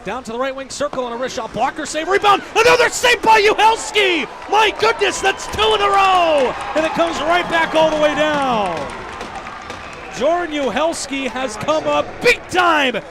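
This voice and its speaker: A man doing live radio play-by-play of hockey, calling the action quickly and excitably. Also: very high voice, heavy background noise. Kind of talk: live radio sports commentary. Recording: arena broadcast with music and crowd behind. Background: arena crowd and music.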